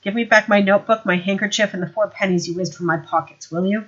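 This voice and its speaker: Woman reading as a tired sarky sergeant, dry deadpan, muffled audiobook sound. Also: mid-range voice, fast, lightly acted. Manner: dry and sarcastic. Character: weary dry-witted male watch sergeant.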